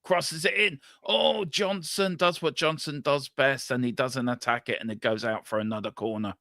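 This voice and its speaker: Bright-voiced man hosting a football stream. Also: mid-range voice, normal speed, vocal fry, no echo, studio quality. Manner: lively, jokey match descriptions. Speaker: host of a football watchalong. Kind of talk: casual play-by-play chat.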